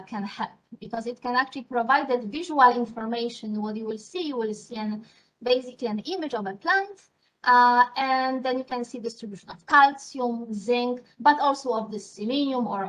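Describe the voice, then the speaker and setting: Polish-accented woman, slightly high voice. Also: fairly bright tone, low-quality recording. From Poland. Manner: thoughtful, many fillers and restarts. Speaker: beamline scientist. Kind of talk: interview answers.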